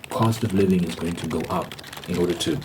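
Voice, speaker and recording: A man's voice: sits low, brisk, background noise. A man giving a casual, rambling monologue as a vlogger, repeating phrases for emphasis. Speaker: ASMR vlogger.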